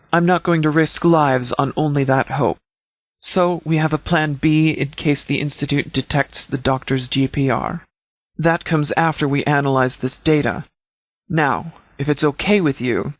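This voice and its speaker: A stern lawman addresses his forces in a formal, commanding manner. His voice sits slightly high for a man, and the sound is very dry and close. It is scripted video game dialogue, delivered as a measured military briefing. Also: fairly bright tone, dry recording. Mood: firm, commanding. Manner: authoritative, formal, measured wording. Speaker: sheriff, leader.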